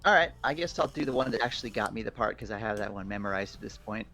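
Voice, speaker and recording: A man chatting casually as a podcast guest, friendly and quick to laugh. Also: fairly low pitch, animated, pushed and bright, faint music behind. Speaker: guest voice actor.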